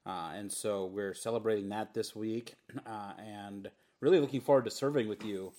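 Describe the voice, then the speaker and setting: A man from Minnesota co-hosting a casual podcast, relaxed and hesitant, with many fillers. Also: fairly low pitch, moderate pace. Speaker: podcast co-host.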